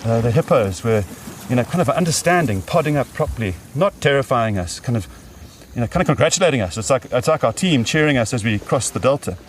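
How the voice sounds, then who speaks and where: A man's voice: medium pitch, expressive, fast, a little hiss or hum. A man talking unscripted as an expedition member, recorded outdoors on a river boat. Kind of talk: unscripted field talk. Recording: distant field recording with music over.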